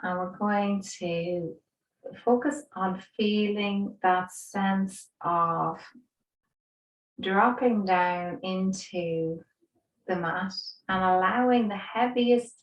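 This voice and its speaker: Female meditation guide, lowish voice, very slow and soothing, recorded at a distance in a roomy space. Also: fairly even intonation, muffled recording. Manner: soothing, gentle, unhurried with pauses. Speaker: yoga instructor. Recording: roomy echo, distant microphone.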